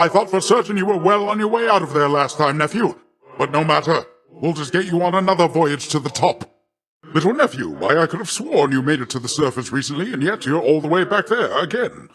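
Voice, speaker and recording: A man's voice: a little high, fairly bright tone. A man playing a boastful, jovial sea god in a video game, talking like a grandiose, theatrical uncle. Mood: chiding. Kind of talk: video game character acting.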